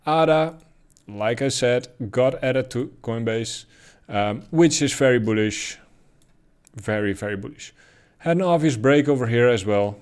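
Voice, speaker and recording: A man's voice: medium pitch, measured pace, darkish tone, smooth. A man with a Dutch accent giving casual, thinking-aloud commentary on price charts, with frequent ums and uhs. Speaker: crypto chart analyst.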